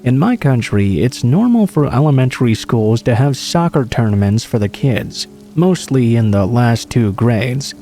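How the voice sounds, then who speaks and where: A man reading true scary stories aloud as a scripted narration, in a steady, low-key delivery. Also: unhurried, few pauses, faint music behind. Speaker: horror story narrator.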